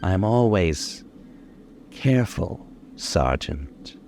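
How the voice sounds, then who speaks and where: A man's voice: deep voice, lively intonation, darkish tone, a little hiss or hum, heavily acted. A man playing a boastful, menacing young killer in an old-time radio crime drama, dwelling on his words. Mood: bitter, narrating. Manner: menacing, gloating, dwelling slowly on details. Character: boastful, unhinged young male killer holding police at gunpoint.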